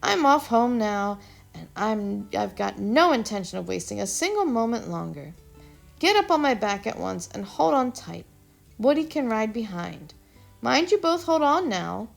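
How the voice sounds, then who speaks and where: A woman's voice: fairly high pitch, very animated, a little slow, creaky, faint music behind, full character voice. A woman voicing a grumpy, impatient male squirrel who orders the children about crossly. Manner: cross, bossy.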